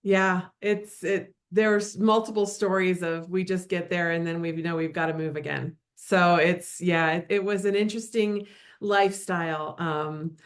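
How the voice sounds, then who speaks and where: A woman's voice: on the low side, fairly even intonation, measured pace. A woman in her fifties, a guest in a casual interview, friendly and laughing often, with frequent ums and restarts. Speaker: guest, realtor.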